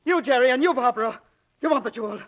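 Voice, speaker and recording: A very high-voiced, fretful rich matriarch. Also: female voice, quick pace. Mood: paranoid, hysterical. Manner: melodramatic, fretful. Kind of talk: 1940s radio acting. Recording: muffled 1940s radio recording.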